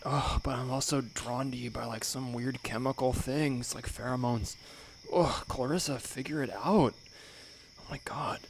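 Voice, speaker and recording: A man voicing a flighty, exasperated young valley-girl woman in an improvised comedy podcast, with a creaky voice. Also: medium pitch, measured pace. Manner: exasperated valley-girl talk, dramatic and self-addressing. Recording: faintly noisy remote podcast recording.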